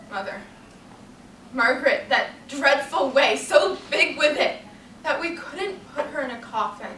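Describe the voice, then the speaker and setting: A young woman acts out an anguished, bitter woman in a stage tragedy. Her high, sharp voice is theatrical and drawn-out, accusing and grief-stricken. It is recorded in a roomy space away from the mic. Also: heavily acted. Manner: theatrical, drawn-out, swelling to shrill outbursts. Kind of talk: dramatic stage monologue. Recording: roomy, distant mic, faint noise.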